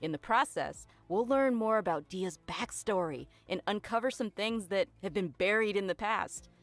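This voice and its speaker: A woman's voice: medium pitch, moderate pace, no room sound, soft background music. A woman co-hosting a game preview show, giving scripted updates and upbeat reactions.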